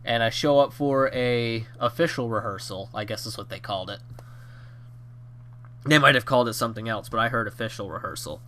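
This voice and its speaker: A young man of twenty tells a story about his own life, drifting between asides and fillers. His voice is bright and a touch nasal and hoarse from a lingering cold. He sounds relaxed and unscripted. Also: medium pitch, a little fast. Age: 20s. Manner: rambling with many fillers and restarts. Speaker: YouTube storyteller. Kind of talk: rambling casual storytime.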